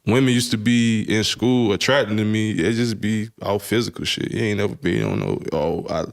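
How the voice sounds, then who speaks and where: A man's voice: lowish voice, moderate pace, gravelly. A young man talking casually on a podcast, telling loose, profane stories with lots of filler phrases. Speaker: podcast co-host. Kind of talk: casual chat.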